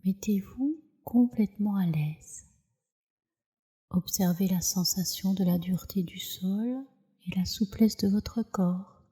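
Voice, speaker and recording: A woman leading a guided relaxation meditation as a yoga nidra teacher, in a soft, soothing, measured way with breathy phrase endings. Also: mid-range voice.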